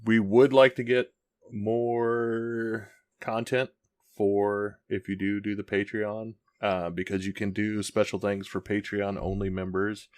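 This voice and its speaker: A man's voice: fairly low pitch, little pitch movement, a little slow, a little mellow, no echo. A man co-hosting a casual podcast, rambling along with lots of ums and restarts. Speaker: podcast co-host. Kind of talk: casual chat.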